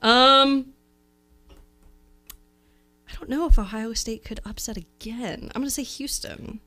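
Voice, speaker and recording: A woman's voice: on the high side, fairly even intonation, measured pace, sharp tone. A woman chatting casually on sports radio, playful and hesitant, with many fillers. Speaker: guest filling out a bracket. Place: radio studio.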